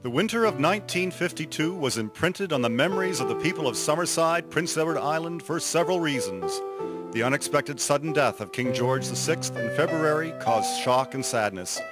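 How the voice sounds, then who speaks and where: A man giving measured scripted narration for a radio drama. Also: on the high side, lively intonation, over music. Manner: measured storytelling.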